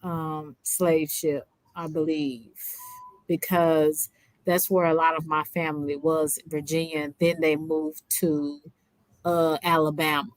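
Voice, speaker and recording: A woman's voice: low voice, flat delivery, slow pace, dry recording, poor audio. A woman with a Southern accent hosting a casual livestream chat, talking in a rambling way with many fillers and restarts. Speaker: livestream host.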